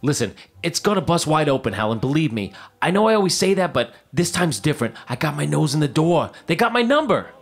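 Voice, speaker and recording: An actor playing an eager, deluded struggling screenwriter in a period audio drama, fast-talking and stammering as he pleads. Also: a little high, very animated, vocal fry, breathy edge, heavily acted. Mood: desperate, insistent.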